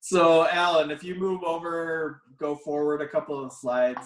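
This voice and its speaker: A man hosting a casual podcast, presenting to the group while losing his voice and clearing his throat. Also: a little high, level tone, measured pace. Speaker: podcast host. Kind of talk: casual panel talk, presenting slides. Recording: echoey room.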